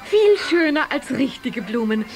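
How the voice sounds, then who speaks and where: A woman playing a kindly, playful young witch in a children's radio play, with a gentle wondering manner. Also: high-pitched, sing-song, unhurried, faint music behind, heavily acted.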